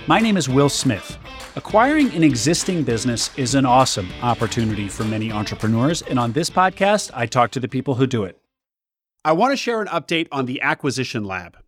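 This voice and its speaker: A man's voice: medium pitch, very animated, a little fast, faint music behind. A man hosting a business podcast, reading a scripted intro and ad read before interviewing his guest in an upbeat way. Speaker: podcast host.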